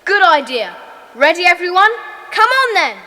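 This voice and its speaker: Casting: a decisive schoolboy club leader in a children's radio play, eager and bossy, very animated, reverberant recording. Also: female voice, extremely high pitch, normal speed, full character voice. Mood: excited, commanding. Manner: decisive, commanding, schoolchild diction. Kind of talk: children's audio drama acting. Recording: reverberant, hall-like echo.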